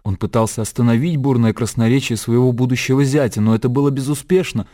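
A man's voice: mid-range voice, steady tone, fast, warm tone. A man reading scripted literary narration as the narrator of a radio drama.

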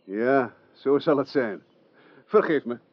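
A man's voice: medium pitch, expressive, slow, slightly rough, dry recording. A man playing a dreamy, courteous knight in a radio drama, speaking in a courtly, theatrical way. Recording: dull old radio recording.

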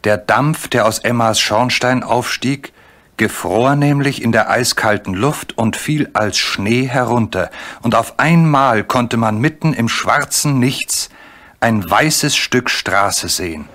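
A man's voice: medium pitch, slow, a bit bright. A man narrating a scripted children's adventure story as the narrator of a radio play. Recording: faint background noise.

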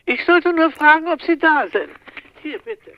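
An actress playing a polite office secretary in a radio play. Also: female voice, high voice, expressive, normal speed, highs cut off, slight background noise. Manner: polite, formal.

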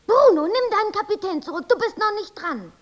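A woman playing an exacting, brooding angel who directs invented characters in a radio play, with a creaky voice and deliberate, didactic delivery. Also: extremely high pitch, animated. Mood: indignant.

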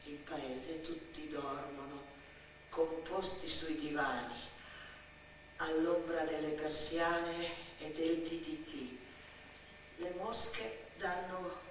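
A woman playing a brooding little girl, slow and echoey. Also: deep voice. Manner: poetic, deliberate, pausing between short phrases. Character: dramatic, brooding little girl recalling an abandoned villa. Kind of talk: theatrical radio monologue. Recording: echoey stage sound, distant, faint noise.

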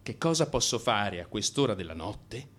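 A man acting a radio theatre monologue as a restless, frustrated man in crisis, confessional and theatrical, moving between hushed and emphatic delivery. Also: lowish voice, very animated, normal speed. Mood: indignant, wry. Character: restless, frustrated man in crisis confiding his night walks. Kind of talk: dramatic first-person radio theatre monologue.

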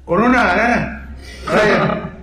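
A man's voice: high-pitched, slow pace, noisy background. A teenage boy with an Argentine accent playing an exasperated judge in an amateur radio comedy sketch. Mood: exasperated, official. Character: exasperated judge mocking clownish bank robbers. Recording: echoey room, music behind.

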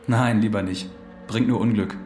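An actor playing a calm, cultured fellow prisoner in a radio drama. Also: male voice, on the low side, normal speed, echoey room, faint music behind, natural voice. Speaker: inmate Max.

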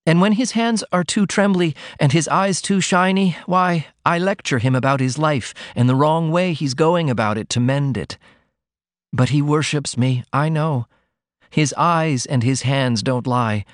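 A man's voice: fairly high pitch, unhurried, no room sound, studio quality, voice pushed up, close to an everyday voice. Ruth, an earnest, frank young woman, voiced by a man. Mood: earnest confiding. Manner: confiding, emotional, earnest. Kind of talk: audiobook character reading.

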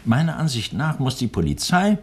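An actor playing a shrewd, anxious doctor in a 1960s German radio crime drama, speaking in a hesitant, deliberate manner with a husky voice. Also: male voice, medium pitch, big pitch swings, darkish tone. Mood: urgent, insistent. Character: shrewd, anxious male sanatorium doctor under blackmail.

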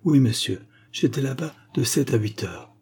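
A man's voice: mid-range voice, animated, moderate pace, vocal fry, darkish tone, slight background noise, pitched above the performer's own voice. A man voicing an accused servant woman in a story, vehement and pleading in distress.

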